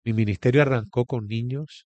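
A man's voice: medium pitch, steady tone, normal speed, a little mellow, no room sound, muffled recording. A man from Venezuela, a guest pastor giving his testimony in an interview in an earnest, preacherly storytelling way.

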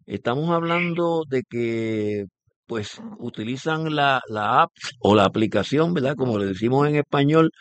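A man's voice: mid-range voice, lively intonation, a little slow, no echo. A man hosting a business radio show, interviewing a guest and often rephrasing his questions with fillers. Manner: repeats and rephrases, many fillers.

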